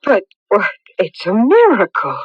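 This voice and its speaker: Expressive, anxious village mother. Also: medium pitch, a little slow, husky, no room sound, full character voice. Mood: shocked, anxious. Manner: expressive, flustered, genteel. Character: polite, anxious middle-aged village mother, easily shocked. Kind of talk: 1980s radio drama acting. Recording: dull lo-fi radio broadcast recording.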